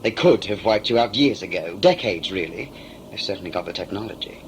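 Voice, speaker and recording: A man's voice: low-pitched, animated, a little fast, slight background noise, poor audio. A man playing a smug, talkative villain in a sci-fi audio drama, a covert saboteur who is condescending and mock-polite. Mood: gloating.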